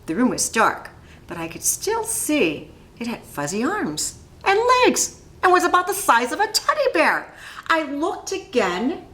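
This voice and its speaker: Female storyteller, picture-book read-aloud, highly animated intonation, dramatic delivery, roomy echo. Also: unhurried. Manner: animated, dramatizes dialogue, asks listeners questions. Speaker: instructional coach reading aloud to children. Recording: echoey room.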